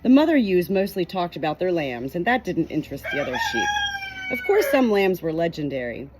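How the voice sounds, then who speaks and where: A woman reading a novel chapter aloud in an expressive storytelling manner, giving short quoted lines a light change of voice. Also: fairly low pitch, a little fast, very noisy. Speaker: audiobook reader.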